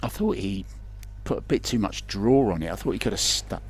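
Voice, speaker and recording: A man's voice: fairly low pitch, lively intonation, fairly quick, slightly rough. A man commentating pool remotely, chatty and joking, asking his co-commentator questions. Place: remote booth.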